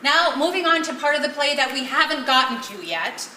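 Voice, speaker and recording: A woman's voice: sits high, lively intonation, fairly quick, bright, forceful tone, exaggerated voice. A woman on stage playing a keen, placating play director who steers a rehearsal in a comedy. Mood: patient. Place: live stage at a one-act play festival. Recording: roomy stage sound with noise.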